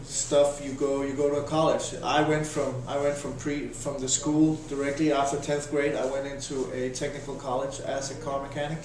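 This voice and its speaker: A man in his thirties with a Danish accent, answering interview questions in a halting, casual way with many restarts. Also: mid-range voice, little pitch movement. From Denmark. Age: 30s. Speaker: interviewee, volunteer volleyball coach. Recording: echoey room, voice from a distance.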